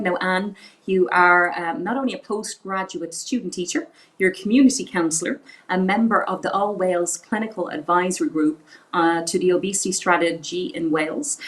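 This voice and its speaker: A woman's voice: fairly low pitch, rough recording. Sniffly woman hosting a panel. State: head cold, sniffly. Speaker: host. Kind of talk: hosting a patient panel livestream.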